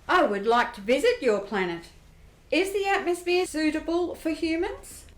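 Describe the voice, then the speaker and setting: A woman's voice: sits high, expressive, moderate pace. A woman playing a curious, upbeat young space traveller in an amateur radio play. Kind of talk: amateur radio drama acting. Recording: roomy echo.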